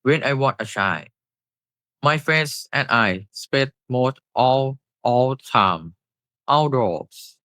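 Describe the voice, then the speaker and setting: An eighteen-year-old man with a Thai accent, reading a scripted class presentation slowly and carefully. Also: mid-range voice, animated, sharp tone, no echo. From Thailand. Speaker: student presenter.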